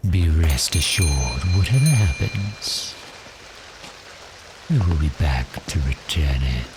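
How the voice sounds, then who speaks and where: A man voicing a suave, teasing vampire in an ASMR roleplay, speaking in a breathy, seductive half-whisper with polite menace. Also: rumbling low, sing-song, takes it slowly, heavy background noise, full character voice. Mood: polite, amused. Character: cheerful predatory male vampire, suave and teasing. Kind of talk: ASMR roleplay, slow intimate monologue.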